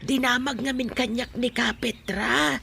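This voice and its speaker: A woman's voice: on the high side, fairly even intonation, measured pace, vocal fry, sharp tone, a little hiss or hum, full character voice. An actress playing a fretful, proud mother of a grown son in a radio drama. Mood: fretful, gossipy. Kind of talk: radio drama acting in Ilocano.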